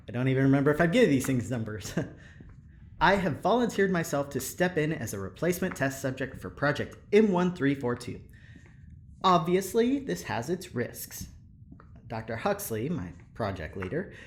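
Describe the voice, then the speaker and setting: A man's voice: medium pitch, slight background noise. An actor playing an eager, earnest male prison engineer in an audio drama, rambling hesitantly with nervous laughter. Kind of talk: audio drama acting, naturalistic.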